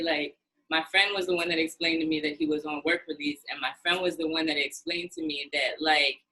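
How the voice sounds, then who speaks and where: A woman hosting a podcast, telling stories in casual chat, animated and full of "like". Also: low voice, poor audio.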